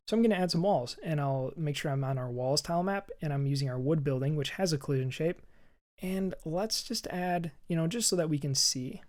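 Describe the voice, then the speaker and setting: A man with a hoarse, scratchy voice, casually walking through a programming tutorial as he works and thinks aloud. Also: on the high side, a little mellow. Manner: chatty and upbeat, thinking aloud while typing. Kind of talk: screen-recorded coding walkthrough. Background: keyboard typing.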